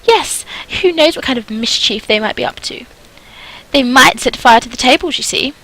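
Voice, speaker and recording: Haughty society lady, played by a woman: affronted, theatrical, high and very animated, for a gothic romance game. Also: normal speed, heavily acted, poor audio. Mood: whining complaint. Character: snobbish, shrill, indignant French society ladies.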